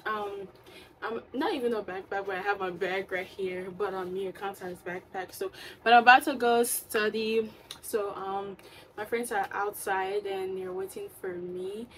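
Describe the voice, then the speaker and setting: A woman's voice: medium pitch, steady tone, measured pace, fairly bright tone, slight background noise. A young woman with a Liberian English accent, chatting upbeat to her phone camera in a college dorm. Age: young adult. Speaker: vlogger. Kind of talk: casual vlog chat. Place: college dorm room and study lounge. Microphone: phone camera at arm's length.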